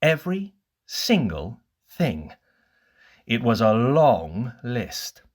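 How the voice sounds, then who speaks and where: A storyteller narrating a children's bedtime story in an expressive, scripted reading style. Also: medium pitch, very slow.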